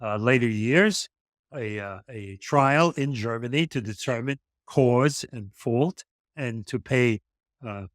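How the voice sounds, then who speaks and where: A man's voice: mid-range voice, slow, dry recording, studio quality. A man hosting an interview, praising his guest effusively and with emphasis. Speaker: host. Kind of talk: interview chat.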